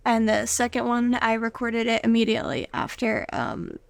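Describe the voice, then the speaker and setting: A young girl chatting casually as an interview guest, speaking in a halting, hesitant way. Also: a little high, very animated, gravelly, no room sound. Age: child or young teen. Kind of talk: casual chat. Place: studio.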